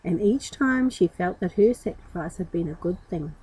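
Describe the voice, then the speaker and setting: A woman's voice: on the low side, animated, moderate pace, warm tone, slightly rough, no echo, poor audio. A woman storyteller reading a children's story expressively, with informal asides.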